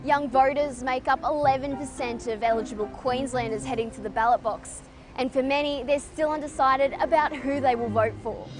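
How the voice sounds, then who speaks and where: A high-voiced woman narrates a scripted news package. Faint music plays behind her. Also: steady tone, moderate pace. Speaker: news reporter.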